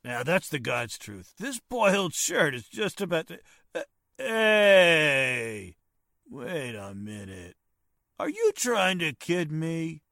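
A woman playing a gruff, suspicious male detective with rough, slangy talk, in 1940s radio drama style. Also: sits low, big pitch swings, slow, fairly bright tone, no echo, clean studio sound, heavily acted. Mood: indignant. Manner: rough, slangy, ungrammatical wise-guy talk.

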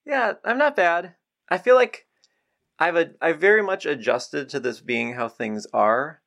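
A man's voice: fairly high pitch, unhurried, vocal fry, a bit bright. A man hosting a podcast, introducing and chatting in an interview style.

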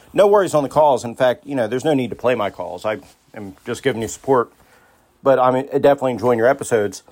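A man's voice: mid-range voice, darkish tone. A man leaving a casual, friendly voice message as a listener calling in to a podcast. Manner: informal, friendly, supportive.

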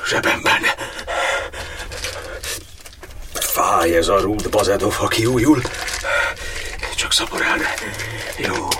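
An actor as a deep-voiced, anguished old cardinal. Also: male voice, noisy background, natural voice. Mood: pained, bitter. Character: imprisoned Hungarian cardinal, old, suffering, brooding over his martyrdom. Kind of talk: theatrical verse monologue in an audio drama.

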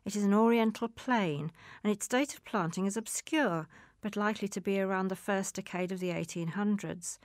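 A woman's voice: medium pitch, normal speed, no room sound, studio quality. A woman reading a literary magazine article aloud.

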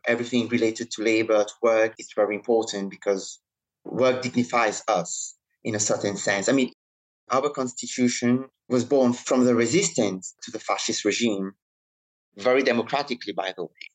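A man born in Italy, speaking as an interview guest in a measured, reflective way. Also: lowish voice, steady tone, low-quality recording. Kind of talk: interview conversation.

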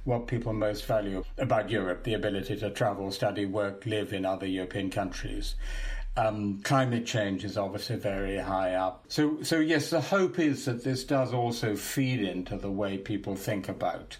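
A man, an interview guest, explaining points in a brisk, explanatory way. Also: fairly low pitch.

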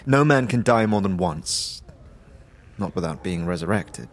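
Man voicing a bleak, slow-spoken young death initiate. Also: sits low, animated. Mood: doubtful. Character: somber young Dustman initiate longing for death. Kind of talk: fantasy audio drama dialogue.

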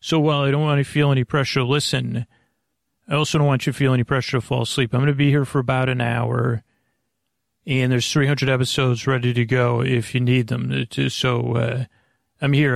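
An American man hosting a sleep podcast, talking in a meandering, hesitant, reassuring monologue with a gravelly voice. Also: medium pitch, flat delivery, a little fast, no echo, studio quality. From United States. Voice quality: creaky, gravelly. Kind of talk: rambling casual monologue.

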